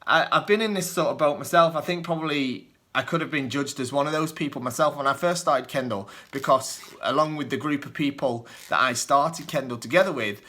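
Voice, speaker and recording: A young man with a soft northern English accent, his voice bright and forward. He rambles through viewer questions off the cuff, filling pauses with ums and uhs. He laughs lightly at himself now and then. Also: on the high side. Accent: mild northern English, Lancashire and Yorkshire mix. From northwest England. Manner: hesitant, lots of ums and uhs, chuckles often. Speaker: kendo channel host answering viewer questions. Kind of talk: casual off-the-cuff Q&A.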